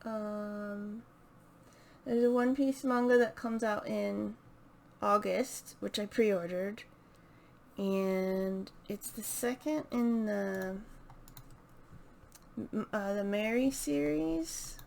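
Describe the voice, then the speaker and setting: A woman's voice: mid-range voice, extremely slow, gravelly, long pauses, darkish tone. A woman chatting casually and unscripted as the host of a video channel, hesitant and thinking aloud as she goes. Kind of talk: casual unscripted book chat to camera.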